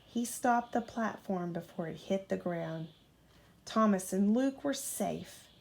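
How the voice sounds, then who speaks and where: Female storyteller for children's bedtime book, unhurried and expressive, motherly role. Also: mid-range voice, gravelly. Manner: expressive, acts out character dialogue. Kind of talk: children's storybook read aloud.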